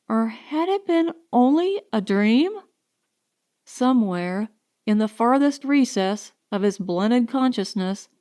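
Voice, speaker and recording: A woman reading a science fiction short story as an audiobook narrator, in a measured, carefully enunciated storytelling style. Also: a little high, darkish tone, clear, resonant tone.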